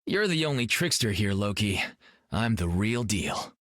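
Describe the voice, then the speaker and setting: A man's voice: lowish voice, sing-song, unhurried, fairly bright tone, dry recording, studio quality. A young actor playing a brash, cocky male martial artist in a video game, joking and upbeat. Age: young adult.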